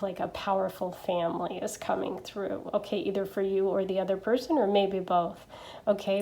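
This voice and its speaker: A woman with a stuffy nose from allergies, chatting gently through a tarot reading with lots of fillers and little checks. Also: medium pitch. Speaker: tarot reader. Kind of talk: casual pick-a-card tarot reading.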